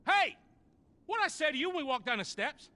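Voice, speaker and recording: Wildly animated, bitter loner survivor. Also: male voice, very high-pitched, a little fast, full character voice. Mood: exasperated, angry. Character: gruff, bitter, paranoid middle-aged male loner survivor. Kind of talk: dramatic video game acting.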